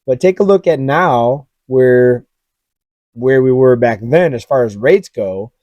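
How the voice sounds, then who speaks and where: A man with a hoarse, raspy voice giving casual commentary on economic charts and talking straight to his viewers. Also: mid-range voice, expressive, slow, darkish tone, no room sound, muffled recording. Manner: conversational, asks viewers directly, many fillers. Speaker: finance YouTuber.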